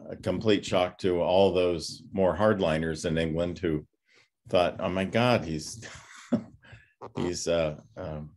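An older man in his sixties, a pastor giving an informal class talk over a video call, reflective and halting with many pauses. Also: deep voice, darkish tone. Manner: halting, reflective, often pausing. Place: home study with bookshelves, on a video call. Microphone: computer microphone on a video call.

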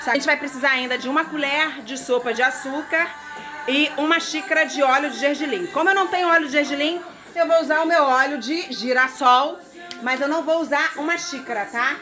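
A woman's voice: high voice, brisk. A woman in her forties from Brazil, chatting straight to viewers while she cooks in her home kitchen, filmed on a phone held close. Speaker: home cooking vlogger. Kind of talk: casual cooking vlog. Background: food sizzling in a pan, music.

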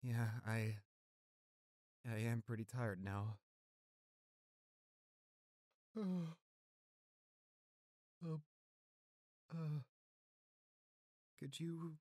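A man playing a gentle, devoted, weakened boyfriend in an intimate roleplay audio drama, speaking softly, haltingly and reassuringly. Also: mid-range voice, no echo. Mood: sleepy, loving. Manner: tender, halting, reassuring, close and soft.

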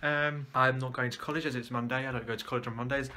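Fast-talking bright-voiced young British man. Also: medium pitch, fairly even intonation. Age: young adult. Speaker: vlogger. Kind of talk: casual chatty vlog.

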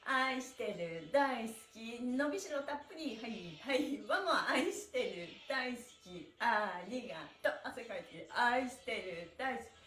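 High-pitched, cheerful woman leading stretches. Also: animated, a little slow, a bit bright. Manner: cheerful, encouraging, often laughing as talking; exercising while talking. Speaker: stretching class instructor. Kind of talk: live exercise instruction with chanted counts. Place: small indoor room with bare wall, on a mat. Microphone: camera a few metres away. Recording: roomy echo, distant mic.

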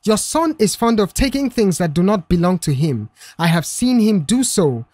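A woman voicing a principled young male mechanic in an audio story, firm, measured and blunt. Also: medium pitch, very animated, a little mellow, dry recording. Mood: stern.

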